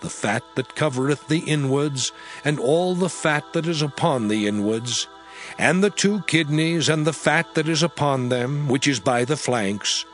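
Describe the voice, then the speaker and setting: A man's voice: fairly high pitch, animated, measured pace, a bit bright. A man giving a solemn, declamatory dramatized reading of scripture as an audio Bible narrator. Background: music under the voice.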